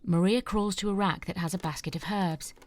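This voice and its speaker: A woman narrator reading the scene directions of an audio drama in an even, measured way. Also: mid-range voice, dry recording.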